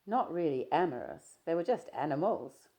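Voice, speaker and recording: A woman voicing a shy, reserved young woman traveller in an audiobook, with soft, hesitant replies. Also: deep voice, big pitch swings, normal speed, vocal fry, muffled recording, full character voice. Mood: wistful.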